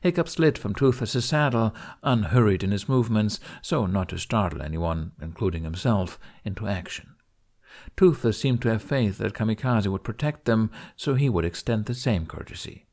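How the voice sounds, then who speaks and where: Lowish-voiced man narrating fiction. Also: animated, moderate pace, darkish tone. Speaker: audiobook narrator. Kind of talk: expressive scripted fiction narration.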